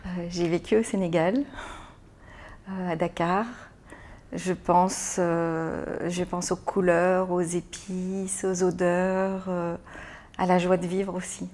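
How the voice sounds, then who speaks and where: A woman born in France, an interview guest answering in a thoughtful, halting way with frequent pauses and repeated words. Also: lowish voice, level tone, darkish tone. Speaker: interview guest, business owner. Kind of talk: hesitant conversational interview answers.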